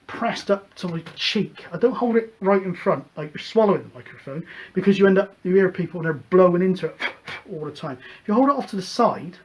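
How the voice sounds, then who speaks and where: A man from the UK with a noticeably high voice hosts a casual hobby show. He explains practical matters in a chatty way, wandering from point to point with a hesitant, unscripted delivery. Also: a little hiss or hum, poor audio. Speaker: host of a CB radio show.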